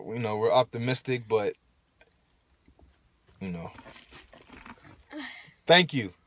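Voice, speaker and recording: A man in his thirties hosting a casual phone livestream from inside a parked car, relaxed and chatty, laughing often and using lots of fillers. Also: mid-range voice. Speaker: livestream host. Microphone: phone held at arm's length.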